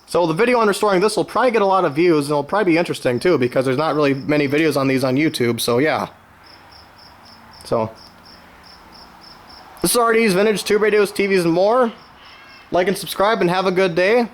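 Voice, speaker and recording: A man's voice: sits high, fairly quick, long pauses. A man giving an informal, unscripted show-and-tell as a hobbyist collector, rambling along with folksy enthusiasm. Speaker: vintage radio collector presenting his own channel.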